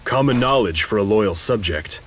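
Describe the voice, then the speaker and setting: A man's voice: on the low side, lively intonation, normal speed. A man acting a reserved, loyal and knowledgeable subject in a video game, speaking in a matter-of-fact way.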